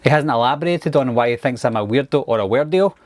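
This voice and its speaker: A man's voice: mid-range voice, animated, quick pace, no room sound. A man with a Glaswegian accent, talking casually and earnestly to his viewers, with some hesitations and restarts. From Glasgow, Scotland. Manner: earnest, patient, lots of fillers and restarts. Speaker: YouTuber. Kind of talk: casual talk to camera.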